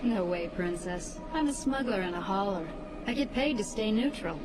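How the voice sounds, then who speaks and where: An actress playing a tough, independent smuggler pilot with a brash, needling manner in a science fiction audio drama. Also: female voice, medium pitch, animated, moderate pace, close to an everyday voice. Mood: firm, dismissive. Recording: clear spaceship ambience noise.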